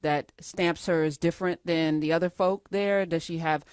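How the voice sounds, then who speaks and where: A woman's voice: deep voice, fairly even intonation, normal speed, darkish tone, smooth, dry recording, studio quality. A woman hosting a talk show interview, asking impassioned, searching questions.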